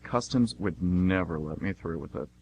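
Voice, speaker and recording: A man's voice: sits low, moderate pace, a little mellow, slight background noise, voice pushed down. A man playing an easygoing, chatty, naive American tourist in an amateur radio play, talking informally. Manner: informal, breezy.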